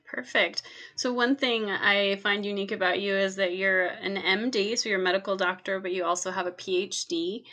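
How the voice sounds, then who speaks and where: A woman hosting a podcast, reading a scripted introduction and asking interview questions in a clear, measured way. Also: medium pitch, bright, forceful tone. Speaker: podcast host.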